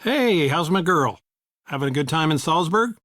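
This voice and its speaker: Middle-aged dad, male, calm, affectionate, easygoing, encouraging, studio-dry game dialogue. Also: fairly high pitch, moderate pace, no echo. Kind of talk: video game voice acting.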